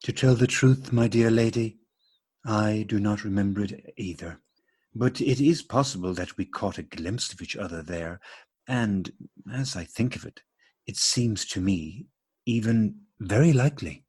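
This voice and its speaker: Courteous, bemused gentleman for absurdist radio comedy, male actor. Low, rough-edged voice, formal phrasing. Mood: polite puzzlement. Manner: formal, deliberate, mock-astonished. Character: courteous, puzzled English gentleman meeting a lady. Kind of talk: absurdist stage dialogue read as radio play.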